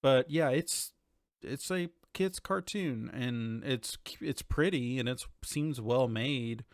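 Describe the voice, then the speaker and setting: A man's voice: medium pitch, slow, no room sound, studio quality. A man in his thirties chatting casually as a podcast host, informal and conversational, often thinking aloud. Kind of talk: casual chat about TV and games.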